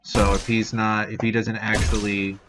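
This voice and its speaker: A man giving a casual software tutorial as an instructor, explaining in an informal, rambling way. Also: lowish voice, little pitch movement, moderate pace. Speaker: game development instructor. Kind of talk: casual screen-recorded software tutorial walkthrough. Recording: dull lo-fi mic with background noise.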